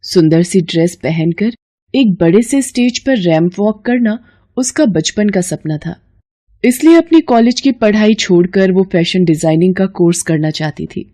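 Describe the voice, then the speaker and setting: A woman storyteller reads scripted narration. Her voice has a dark, mellow tone and sits at a medium pitch. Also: animated, moderate pace.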